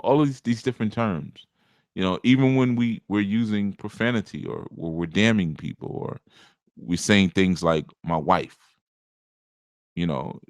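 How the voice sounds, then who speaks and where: A low-voiced man hosting a live spiritual teaching show. He talks in a loose, conversational way, full of asides. The sound is close and dry, with no room around it. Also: no echo. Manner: conversational, rambling, with many asides and chuckles.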